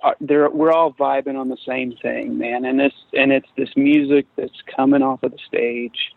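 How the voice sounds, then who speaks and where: A man's voice: medium pitch, steady tone, moderate pace, vocal fry, dry recording. A man in his forties, a guest musician talking casually in an interview, rambling with many restarts and fillers. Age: 40s. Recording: tinny phone-like remote line.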